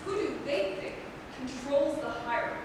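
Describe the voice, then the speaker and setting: Woman playing a high-pitched, imperious scheming royal advisor. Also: lively intonation, moderate pace. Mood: bitter brooding. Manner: imperious, theatrical declaiming. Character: vain, scheming aging male royal advisor, resentful master. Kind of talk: staged play reading. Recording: echoey hall from far off, noisy.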